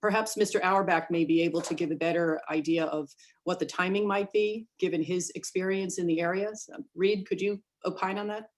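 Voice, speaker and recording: Measured woman attorney, lowish voice. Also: steady tone. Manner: measured, hesitant, with restarts. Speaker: attorney for the applicant. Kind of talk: formal argument at a remote hearing.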